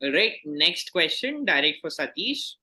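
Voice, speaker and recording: Male quiz host, bright forceful tone, fairly high pitch, courteous and formal delivery of questions. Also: very animated, unhurried. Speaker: quizmaster. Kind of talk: reading quiz questions aloud.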